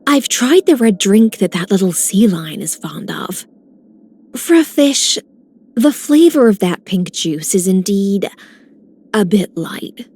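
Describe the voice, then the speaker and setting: The aloof, husky swordswoman speaking low and mellow from a fantasy game's shoreline. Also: big pitch swings, slow pace, heavily acted.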